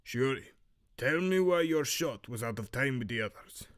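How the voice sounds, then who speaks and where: A man voicing a stern master archery instructor with a muddled, Romanian-like Eastern European accent, lecturing in a measured, severe way for an audio drama. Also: medium pitch, expressive, natural voice. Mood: stern, admonishing. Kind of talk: fan audio drama character acting.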